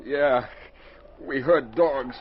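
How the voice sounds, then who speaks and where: A man's voice: mid-range voice, extremely slow. Terse gunman, noisy old radio. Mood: grudging. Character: shifty captured male gunman, grudgingly confessing. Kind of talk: 1940s radio drama acting. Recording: muffled, noisy 1940s radio recording.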